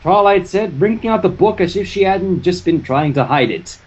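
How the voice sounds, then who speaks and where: Animated story reader, husky voice, scripted fan fiction narration with offhand asides. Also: medium pitch, moderate pace, muffled recording.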